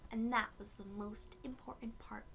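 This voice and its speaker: A woman reading a picture book aloud to young children and explaining it gently in simple words. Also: on the high side, fairly even intonation, moderate pace, gravelly, slight background noise. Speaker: children's read-aloud host.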